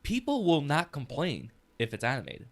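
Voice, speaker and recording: A man's voice: mid-range voice, wildly swooping pitch, normal speed. A man hosting a casual discussion in an opinionated, emphatic, sweary manner. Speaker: podcast host steering the discussion. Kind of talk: casual chat.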